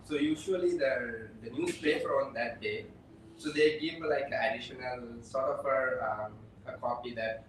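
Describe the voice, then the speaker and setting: A man's voice: medium pitch, a bit bright, a little hiss or hum. Man from Sri Lanka, distant and echoey. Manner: halting, with many fillers and restarts. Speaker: election observer, program officer. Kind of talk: casual panel conversation. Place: indoor room, shared table with other guests. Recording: echoey room, distant mic.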